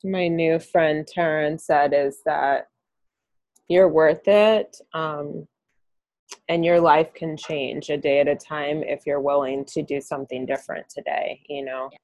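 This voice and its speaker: A woman hosting a podcast in a soft-spoken, reflective way, often pausing with "um". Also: low-pitched, fairly even intonation, no echo. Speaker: podcast host. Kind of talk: casual interview chat.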